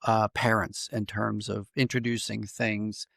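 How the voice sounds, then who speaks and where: A man answering interview questions conversationally as a writer, thoughtful and halting, circling back to rephrase as he goes. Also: on the low side, flat delivery, creaky, fairly bright tone, no echo, clean studio sound. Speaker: podcast guest, writer and dramatist.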